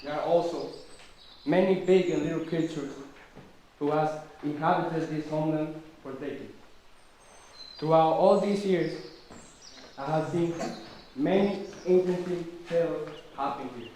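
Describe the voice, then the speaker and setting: A man's voice: on the high side, measured pace, pauses often, warm tone, natural voice. A man playing a kindly old forest king who tells stories in a puppet show. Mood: reminiscing. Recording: very echoey room, distant mic, faint noise.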